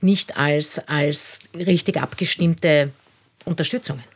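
A woman's voice: low-pitched, takes it slowly, slightly rough. A woman moderating a live radio phone-in discussion as its host. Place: radio studio.

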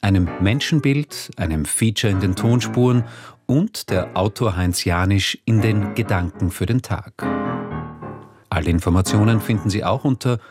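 A man narrates a scripted radio promo in a polished, deliberate way. His voice sits low. Clear guitar music plays behind him.

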